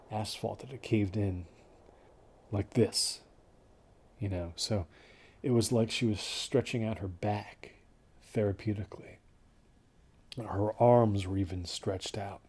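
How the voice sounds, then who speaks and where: An actor playing a dazed, delusional injured man recounting an ordeal in an audio horror drama, halting and detached, with hushed phrases. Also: on the low side, a little hiss or hum. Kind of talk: first-person audio horror drama monologue.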